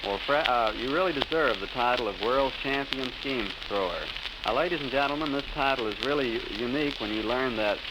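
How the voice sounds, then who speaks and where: An American man hosting an old-time radio interview in a formal, slightly hesitant announcer's manner. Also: medium pitch. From United States. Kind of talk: 1930s-40s radio interview. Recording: scratchy, hissing old 78 rpm radio disc.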